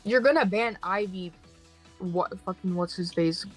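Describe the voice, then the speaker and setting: A woman's voice: on the low side, unhurried, faint music behind. A young woman on a casual gaming stream, blunt and teasing, who swears offhandedly while arguing her picks.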